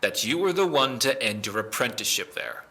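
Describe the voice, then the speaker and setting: Man playing male police officer, audio drama, medium pitch, crisp forceful tone, formal, methodical, firm, deliberate. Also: lively intonation. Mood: probing.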